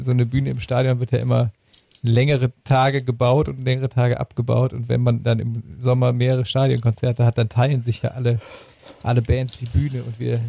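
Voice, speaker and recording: A man's voice: medium pitch, gravelly, warm tone, slight background noise. A man hosting a podcast in casual chat, often repeating words and phrases as he gathers his thought. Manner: stammering repetition of words and phrases. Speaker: podcast host. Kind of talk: casual chat about music.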